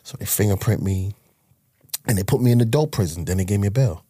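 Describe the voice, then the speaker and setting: A man with a New York accent talks in a low, husky voice, close and dry on the mic. He tells his story in a loose, streetwise way with plenty of swearing, and he acts out the people he quotes. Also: animated, fast talker, dark tone, no echo. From Harlem, New York. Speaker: guest telling his life story. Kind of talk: casual storytelling interview.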